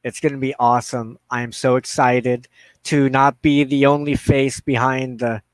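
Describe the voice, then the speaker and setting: A man's voice: mid-range voice, little pitch movement, normal speed, no echo, rough recording. A man hosting a live show, chatting casually to viewers in a slangy, rambling way into a headset mic. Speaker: livestream host of a spiritual ministry show. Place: inside a trailer.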